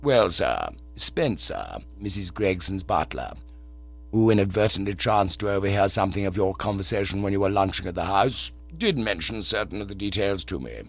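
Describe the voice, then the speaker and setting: Guy doing a low slow deferential butler type, every line ends in a deep "sir", bit muffled audio. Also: animated, gravelly, exaggerated voice. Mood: grave, deferential. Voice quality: low, measured and formal. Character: solemn, unflappable middle-aged male valet.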